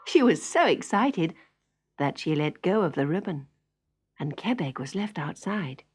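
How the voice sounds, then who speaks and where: A woman narrating a children's story in an expressive storytelling manner. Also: lowish voice, a little slow, background noise. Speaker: storybook reader. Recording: faint music behind the reading.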